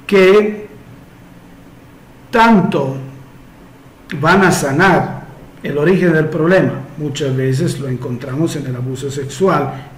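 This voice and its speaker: A man who is not a native Spanish speaker, talking slowly and hesitantly as a therapist answering follower questions, with frequent fillers. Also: a little high, echoey room, slight background noise, rough recording. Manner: slow and measured, many hesitations and fillers. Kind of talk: casual solo Q&A monologue.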